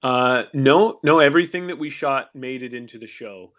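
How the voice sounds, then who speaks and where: A man's voice: mid-range voice, moderate pace. Male host of a fan Q&A, easygoing and thoughtful, tends to circle back mid-sentence. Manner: thoughtful, rambling with restarts and soft laughs. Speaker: actor hosting a fan Q&A. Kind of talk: casual audio chat answering fan questions. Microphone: online audio room.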